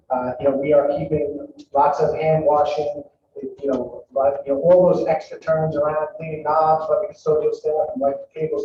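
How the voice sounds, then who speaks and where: A man's voice: on the high side, mellow, slight background noise. A man, a school district leader, giving a public presentation to families in a conversational way, with many ums and restarts. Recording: echoey hall, far from mic, dull.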